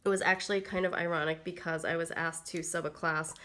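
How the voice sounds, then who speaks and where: A woman's voice: on the low side, fairly even intonation, a little fast, sharp tone. A woman getting over a cold, her voice slightly froggy, chatting casually through a planner walkthrough with lots of ums and likes. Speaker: planner vlogger. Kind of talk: casual plan-with-me narration.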